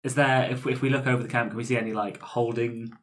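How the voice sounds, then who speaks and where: A man's voice: medium pitch, level tone, quick pace. A man playing a tabletop roleplaying game, improvising ideas in casual, conversational talk, with faint noise on the recording.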